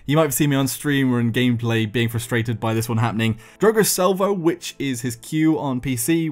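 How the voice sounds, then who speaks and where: A man with a medium-pitched voice delivers informal gaming commentary. He explains things in a conversational way, putting weight on the key points. Music plays behind him. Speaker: gaming video host. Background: background music.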